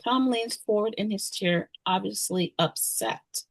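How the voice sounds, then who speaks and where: A woman's voice: medium pitch, a little slow, gravelly, no room sound, rough recording. A woman narrator reading scripted screenplay directions at a table read.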